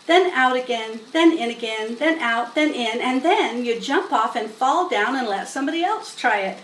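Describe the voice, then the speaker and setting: A woman reading a children's story aloud in an expressive, unhurried way with dramatic emphasis. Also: sits high. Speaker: storybook reader. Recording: roomy, distant mic with faint noise.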